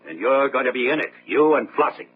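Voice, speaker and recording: An actor playing an arrogant, blustering self-made millionaire in a radio drama. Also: male voice, mid-range voice, lively intonation, fairly quick, natural voice. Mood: domineering. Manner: blustering, bullying. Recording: muffled phone-like old radio, faint hum.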